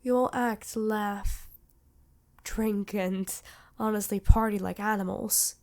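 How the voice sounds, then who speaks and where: Woman playing a vampire queen, motherly, stern, protective, earnest, mid-pitched, dramatic pauses, ASMR roleplay. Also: fairly even intonation, darkish tone. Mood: tender, wistful. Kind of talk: ASMR roleplay acting addressed to listener.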